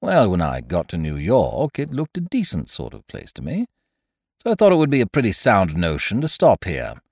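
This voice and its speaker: A man's voice: on the low side, wildly swooping pitch, normal speed, darkish tone, breathy edge, no echo, studio quality, voice pushed down, heavily acted. A man doing a gloomy, rueful young toff. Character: gloomy, hard-up young gentleman, rueful and put-upon.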